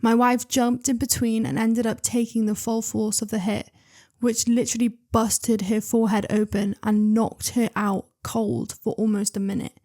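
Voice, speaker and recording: A young woman hosting her channel, reading online stories aloud with chatty, excitable commentary and asides. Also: on the high side, normal speed. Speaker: reader and channel host.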